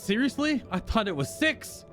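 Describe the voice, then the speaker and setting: Animated man reacting to a game. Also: very high voice, normal speed. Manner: reactive, muttering to himself. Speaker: game streamer. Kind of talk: live playthrough reactions. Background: game music.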